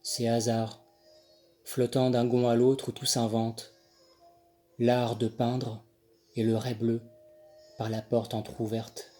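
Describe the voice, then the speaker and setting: A man reciting his own poem in measured, deliberate phrases with pauses between them. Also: medium pitch, completely flat delivery, mellow. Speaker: poet reading own poem. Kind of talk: poetry recitation. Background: Handel music accompanies the reading.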